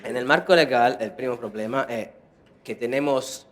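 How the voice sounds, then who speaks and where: Italian-accented man lecturing in halting speech. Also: mid-range voice. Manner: halting, many fillers and restarts. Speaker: conference speaker. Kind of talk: conference lecture.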